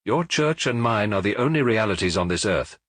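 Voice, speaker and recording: A man's voice: on the low side, lively intonation, moderate pace, fairly bright tone, no room sound. A man voicing a proud, scornful sun-cult prophet in a lofty, oratorical manner for an audiobook story. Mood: scornful, exalted.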